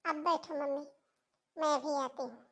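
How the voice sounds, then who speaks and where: A woman's voice: high-pitched, steady tone, moderate pace, creaky. A woman playing an anxious young married daughter who pleads, in a Hindi cartoon moral story. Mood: anxious, pleading.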